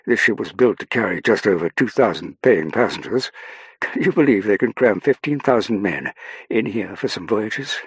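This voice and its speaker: Casting: male reader as young upper-crust English official, polished, breezy, brisk, slightly husky. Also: fairly low pitch, lively intonation, no echo, clean studio sound, pitched above the performer's own voice. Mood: breezy, chatty. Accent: upper-class English. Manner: breezy, polished, self-assured.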